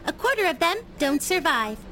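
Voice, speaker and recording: A woman's voice: sits high, sing-song, moderate pace, a bit bright, a little hiss or hum. A woman voicing a small, cheerful robot pet companion with a literal, matter-of-fact manner in a comedy sci-fi audio drama. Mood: chirpy, informative.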